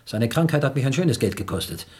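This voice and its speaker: An actor playing an older, tight-fisted, excitable uncle in a radio play, husky and grumbling with indignant outbursts. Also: male voice, mid-range voice, brisk. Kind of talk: German radio play dialogue.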